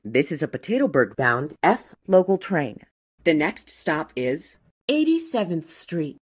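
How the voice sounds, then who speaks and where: Slow, low-voiced woman announcing trains. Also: very animated, husky. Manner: formal, segmented phrasing pieced from clips. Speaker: transit announcer. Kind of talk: scripted automated train station announcement.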